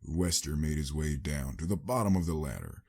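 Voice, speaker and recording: A man's voice: extremely low pitch, normal speed, a little mellow. A man giving a dramatic scripted narration of a story.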